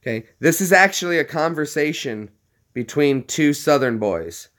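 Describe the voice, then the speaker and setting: A man's voice: a little high, lively intonation, moderate pace, fairly bright tone. A man from Tennessee with a neutral American accent, chatting casually to camera. He is jokey and explains things step by step. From Tennessee, United States. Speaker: vlogger.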